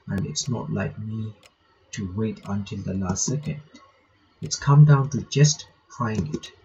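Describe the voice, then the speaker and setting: A man with a low voice and a dark, mellow tone reads visual novel text aloud for a playthrough. He stumbles now and then, repeats words and drops in casual comments. The recording is dull and lo-fi, with faint noise behind him. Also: gravelly. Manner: halting, stumbles while reading, repeats words. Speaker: reaction channel host.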